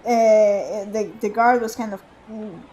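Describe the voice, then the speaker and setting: A woman's voice: mid-range voice, takes it slowly, dark tone, highs cut off, slight background noise. A woman streaming a game, rambling in casual commentary with frequent laughter and restarts.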